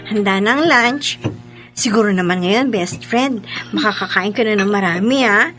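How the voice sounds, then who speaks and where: A woman's voice: mid-range voice, normal speed, lightly acted. A woman playing a cheerful, supportive young best friend in a Filipino radio drama. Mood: caring, upbeat. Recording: loud music behind the voice.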